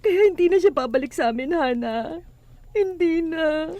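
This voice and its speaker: A woman's voice: very high voice, lively intonation, moderate pace, darkish tone, dry recording. An actress playing a despairing abandoned wife and mother, plaintive and emotional, in a religious radio drama. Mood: bitter, distraught.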